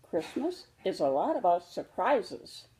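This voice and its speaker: Storybook role: tender mama bear played by a woman, mellow and unhurried, patiently reassuring her young cub. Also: lowish voice, very animated, poor audio. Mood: tender, explaining. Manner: gentle, reassuring explaining. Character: patient, loving mother polar bear teaching her cub.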